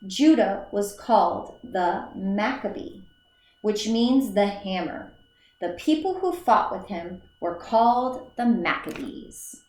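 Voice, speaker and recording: A woman's voice: mid-range voice, lively intonation, slow pace, a little mellow. A woman teacher reading a children's story aloud in a careful read-aloud manner, with the odd slip and restart. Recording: echoey room.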